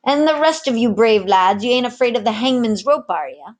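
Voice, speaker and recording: Storybook pirate chief mate, male played by woman: scheming, bossy, rough-tongued sailor, raised pitch. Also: lively intonation, fairly quick, lightly acted. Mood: taunting, grinning. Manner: rough sailor slang, bullying.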